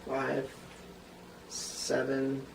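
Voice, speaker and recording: A hesitant séance participant, played by a man, speaks slowly and deliberately, with halting phrases. The amateur read-through sounds echoey and noisy. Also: mid-range voice, completely flat delivery, full character voice. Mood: uneasy curiosity. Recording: roomy, clearly noisy recording.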